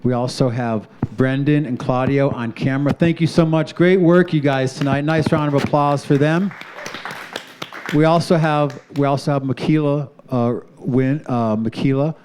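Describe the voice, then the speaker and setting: Upbeat male TV host, smooth mellow voice. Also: a little high, measured pace. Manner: upbeat, announces crew and thanks sponsors. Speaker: show host. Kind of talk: live community TV talk show. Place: studio with live audience.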